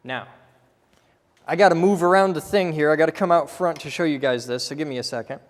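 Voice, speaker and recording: A man's voice: fairly high pitch, a little fast, in a large hall. A man teaching step by step in a casual way, often checking in with "okay?" as he goes. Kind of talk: casual technical explanation while drawing.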